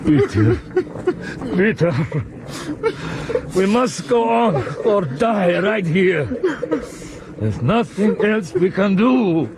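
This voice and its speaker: Fervent elderly German professor, high-pitched, slow and deliberate, exclamatory, radio drama. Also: male voice, expressive, over a noisy crowd, heavily acted. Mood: desperate encouragement. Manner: theatrical, exclamatory, urging. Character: eccentric older German professor uncle, fervent and stubborn. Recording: very noisy 1990s radio broadcast.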